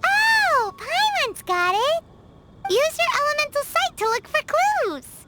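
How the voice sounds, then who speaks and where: A woman voicing a tiny, excitable fairy companion who talks about herself in third person. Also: very high voice, slow, full character voice. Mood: excited. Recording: game cutscene with music behind.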